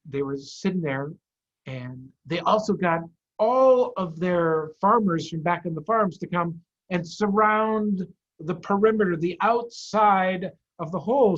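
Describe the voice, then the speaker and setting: A man, a head of school, chatting to children and reading them a story in an animated way over a video call. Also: sits high. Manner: animated, addressing children with simple questions. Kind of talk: children's storytime read-aloud. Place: office. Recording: dull, lo-fi video-call audio.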